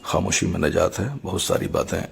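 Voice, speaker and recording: Very gravelly, low-voiced man. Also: level tone, background noise. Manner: formal, measured, halting in short phrases. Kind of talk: explanatory public statement.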